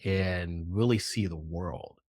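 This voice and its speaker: A man's voice: sits low, slow pace, darkish tone, dry recording, clean studio sound. A middle-aged American man hosting a podcast interview, upbeat and effusive in a casual conversational way. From United States. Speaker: podcast host.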